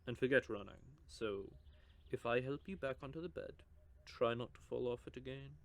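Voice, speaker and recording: A man's voice: mid-range voice, normal speed, creaky, close to an everyday voice. A man voicing a teasing, possessive male vampire in an audio roleplay, with a smug, drawling manner. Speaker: voice actor.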